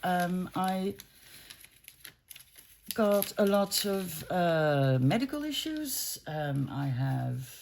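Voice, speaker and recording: Woman, 50s, gravelly, easygoing rambling crafter talking to camera at home, faint background noise. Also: fairly low pitch, animated, drawn-out words, pauses often, a little mellow. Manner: rambling, self-interrupting, easygoing. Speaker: junk journaling crafter. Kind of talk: casual chat while crafting.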